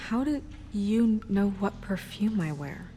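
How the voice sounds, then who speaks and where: An actress playing a serious, worried young official in a video game, speaking in an earnest, guarded, businesslike way. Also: female voice, medium pitch, expressive, slow, mellow, slight background noise.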